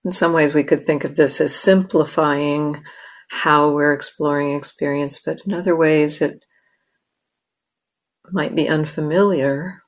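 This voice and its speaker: Woman leading a guided meditation, really slow and pretty flat, lots of long pauses. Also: deep voice. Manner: measured, with long pauses between short phrases. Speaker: meditation teacher.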